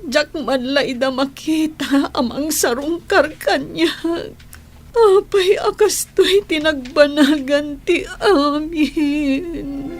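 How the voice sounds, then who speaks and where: A woman's voice: a little high, lively intonation, slow pace, long pauses. A woman playing a weary, self-sacrificing migrant worker in a radio drama, with a Filipino accent and a plaintive, yielding manner. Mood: tearful.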